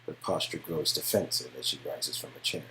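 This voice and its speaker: A man's voice: rumbling low, a little fast, sharp tone, poor audio. A man reading scripted story narration from an interactive game, recorded with faint background noise. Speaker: narrator and reader of game dialogue.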